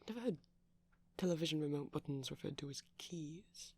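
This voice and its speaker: Gentle, bashful vampire boyfriend voiced by a man for intimate ASMR audio; breathy, creaky, halting, half-whispered delivery. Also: fairly high pitch. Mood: musing, absorbed. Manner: hesitant, soft-spoken, often slipping into whispers. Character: shy, gentle pastel vampire boyfriend, soft and sweet. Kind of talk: ASMR roleplay, soft intimate rambling.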